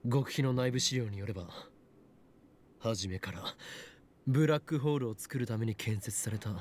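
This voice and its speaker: A man's voice: medium pitch, fast, darkish tone, close to an everyday voice. An actor playing an intense, imperious young man who styles himself a mad scientist, in a Japanese visual novel, with noisy game audio. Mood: serious, imperious.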